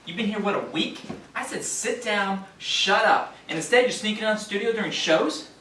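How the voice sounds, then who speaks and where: An actor playing a bullying, sneering show-business veteran in a stage play. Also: male voice, high voice, brisk, bright, forceful tone. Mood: scolding, sneering. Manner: confrontational, sneering. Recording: distant, echoey stage recording, faint noise.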